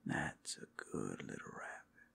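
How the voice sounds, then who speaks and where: A man playing a tender, possessive male werewolf lover in an intimate ASMR roleplay, murmuring softly in a low, breathy, gravelly voice. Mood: soothing, tender. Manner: hushed, slow, soothing murmur.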